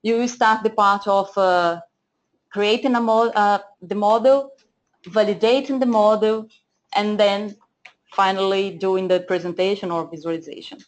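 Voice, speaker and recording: A woman's voice: mid-range voice, fairly even intonation, unhurried, poor audio. A woman with a Portuguese accent giving a lesson over shared slides, speaking hesitantly with many fillers and restarts. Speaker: data analytics trainer. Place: screen-share presentation, voice off camera.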